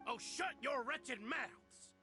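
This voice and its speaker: An actor playing a furious, sadistic tyrant in a video game, speaking in a theatrical, menacing and grandiose manner. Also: male voice, extremely high pitch, takes it slowly, music in the background. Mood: enraged. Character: furious tyrannical male villain, sadistic cult-like boss.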